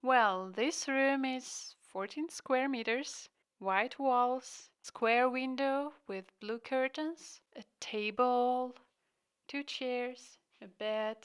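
A woman hosting a podcast, narrating a scripted introduction and slowly describing a room. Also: high voice, clear, resonant tone. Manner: slow, descriptive. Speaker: podcast host.

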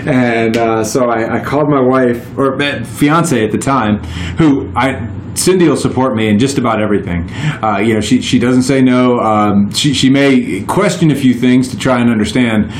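A man's voice: mid-range voice, normal speed, echoey room, a little hiss or hum. A man in his forties, a guest on a podcast, telling his stories in a casual, rambling way with many restarts. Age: 40s. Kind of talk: casual chat.

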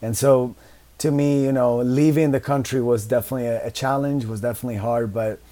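A man in his thirties speaking English with an Italian accent, Italian being his first language. His voice is mellow and warm at a middling pitch. He rambles easily as a guest, leaning on "you know" and restarting his sentences. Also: fairly even intonation, brisk. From Italy. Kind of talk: casual interview chat.

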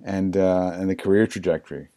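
Low-voiced man hosting a podcast. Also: level tone, brisk, warm tone. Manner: upbeat, welcoming. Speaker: podcast host. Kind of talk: podcast interview.

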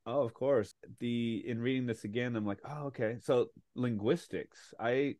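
A man's voice: medium pitch, fairly even intonation, moderate pace, dark tone, no echo. A man hosting a podcast, reading a guest introduction and then chatting eagerly with many fillers. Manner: chatty, enthusiastic, with frequent fillers. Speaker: podcast host. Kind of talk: casual interview chat.

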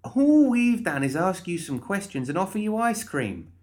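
A man's voice: high voice, sing-song, moderate pace, darkish tone, pitched above the performer's own voice. A man voicing a perky, chatty undead woman in an audiobook, bubbly and pushy with coaxing false reassurance. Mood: coaxing, brisk. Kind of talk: audiobook character voice.

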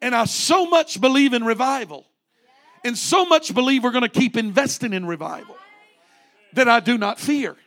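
A man's voice: extremely high pitch, expressive, moderate pace, a bit bright. A man in his late forties, a pastor preaching a fervent sermon with rising repeated refrains. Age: 40s.

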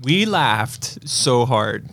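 A man's voice: mid-range voice, big pitch swings, very slow, fairly bright tone, a little hiss or hum. A man co-hosting a podcast in casual chat, with a rambling, conversational way of talking. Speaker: podcast co-host.

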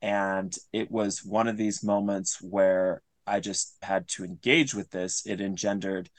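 A man with a fairly low voice talks as a guest in an interview. He explains things earnestly and conversationally, circling back to restate phrases. The sound is very dry, with no room around it. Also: fairly even intonation, unhurried, no room sound. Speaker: interview guest, audio drama creator.

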